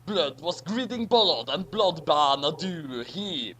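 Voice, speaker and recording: Animated, unhurried reader with wide swings in pitch. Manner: stumbling over garbled text, repeating phrases. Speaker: reader of a parody fan fiction. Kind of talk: comedic dramatic reading of badly written fan fiction.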